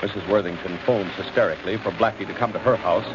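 A male announcer delivers scripted narration in old-time radio style. His voice is fairly low. The vintage recording is muffled and full of loud noise. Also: fast.

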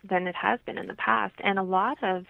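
A woman's voice: mid-range voice, steady tone, brisk, vocal fry. A woman from Canada speaking as an interview guest, explaining things as a holistic health practitioner on slightly dull radio audio.

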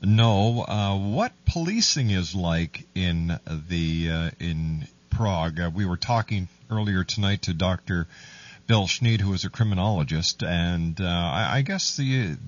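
A man hosting a radio show and interviewing a guest, halting with many fillers. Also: low voice. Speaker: radio host. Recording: distant, muffled mic.

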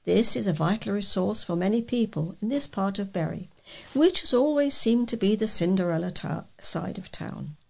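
Gravelly British woman reading letters aloud. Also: medium pitch, lively intonation, moderate pace, darkish tone. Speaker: volunteer newsreader for a local talking newspaper.

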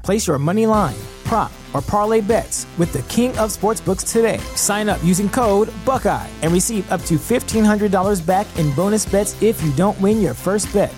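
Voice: mid-range voice, darkish tone. Casting: commercial announcer, scripted promotional delivery, faint music underneath. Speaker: advertising voice-over.

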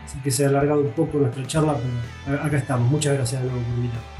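Man in his 40s, argentine accent, mellow dark voice, lots of eh and repeats, echoey room. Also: mid-range voice, steady tone, very fast, distant mic, soft background music. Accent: Argentine Spanish. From Uruguay, raised in Argentina. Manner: hesitant, repeats words, many fillers. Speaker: guest veterinary pathologist. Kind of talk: interview answers.

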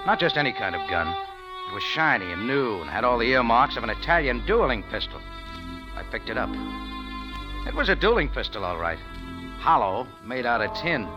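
Guy acting a laconic hard-boiled american cafe owner, 40s radio drama, lively swings in pitch, music in the old recording. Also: normal speed, close to an everyday voice, poor audio. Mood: tense narrating. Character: wry tough male Cairo cafe owner, reluctant sleuth. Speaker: lead character and narrator.

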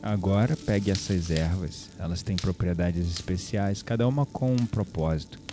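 Audio drama lead: low-voiced Brazilian man played by an actor, mysterious calm spiritual guide, soft dark tone over music. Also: moderate pace, lightly acted. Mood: calm, enigmatic. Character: mysterious calm man guiding a fire ritual.

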